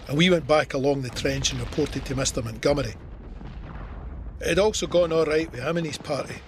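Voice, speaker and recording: An actor playing a soldier, recounting battle as a first-person memoir in an audio drama. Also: male voice, fairly high pitch, close to an everyday voice. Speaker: narrator and lead character. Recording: battle noise of gunfire and artillery. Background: artillery fire and gunshots.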